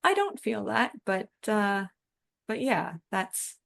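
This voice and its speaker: A woman's voice: medium pitch, sing-song, slow pace, gravelly, a bit bright. A woman co-hosting a podcast, discussing books in a casual, conversational way. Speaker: podcast co-host.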